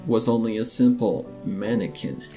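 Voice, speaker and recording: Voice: mid-range voice, normal speed, mellow. Story narrator with music behind.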